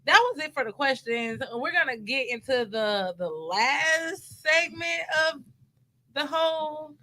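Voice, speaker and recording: A woman's voice: sits high, lively intonation, measured pace, pushed and bright. A woman in her thirties hosting a podcast, chatting casually and animatedly, laughing often and poking fun at herself. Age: 30s. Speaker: podcast host.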